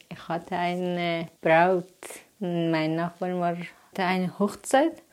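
Soft-spoken woman from Afghanistan. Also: lowish voice. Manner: hesitant, searching for words with many pauses. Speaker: interviewee. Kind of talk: halting personal account in learner German.

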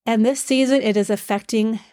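A woman with a stuffed-up nose hosting a devotional hymn podcast, speaking in a gentle, teacherly way with frequent fillers. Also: a little high, steady tone, a little fast, no room sound, studio quality. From Iowa, USA. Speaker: podcast host.

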